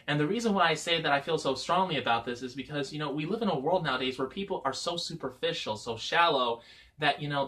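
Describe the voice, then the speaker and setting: A man's voice: medium pitch, pushed and bright. A man in his twenties talking casually to camera as a vlogger, rambling with lots of filler words. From Michigan, US. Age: 20s. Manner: rambling, many "you know" fillers.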